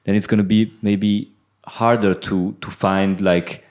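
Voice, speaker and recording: A man's voice: lowish voice, little pitch movement, a little slow, darkish tone. A man in his thirties from Switzerland, a band member speaking thoughtfully in an interview, hesitant and repeating words. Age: 30s. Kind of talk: casual interview chat.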